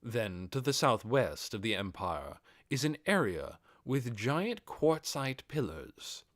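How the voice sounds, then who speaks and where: A man voicing a commanding undead overlord in an audiobook, explaining things in a measured, deliberate way. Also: medium pitch, sing-song, a bit bright, dry recording, clean studio sound. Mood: serious, explaining.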